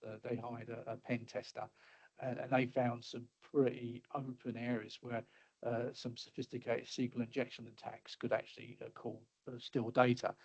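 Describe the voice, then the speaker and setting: A middle-aged man at a mid-range pitch, walking through slides in a loose, halting way, full of uhs and restarts. His voice is a bit hoarse and he clears his throat now and then. The call audio is slightly dull and lo-fi. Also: a little mellow. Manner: halting, many fillers and restarts. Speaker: webinar presenter. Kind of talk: casual presentation with slides.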